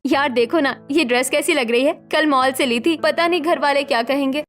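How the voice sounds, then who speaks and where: A woman voicing a freedom-loving, scheming young wife in cartoon story dialogue, in a chatty, expressive way. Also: sits high, normal speed, faint music behind, close to an everyday voice. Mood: hesitant.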